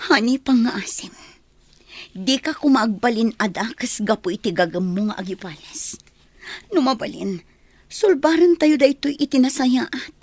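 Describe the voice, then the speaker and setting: A woman with an Ilocano accent playing an anxious wife urging calm in a radio drama, in a breathy, husky voice. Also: fairly high pitch, a little slow, no echo. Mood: worried, imploring.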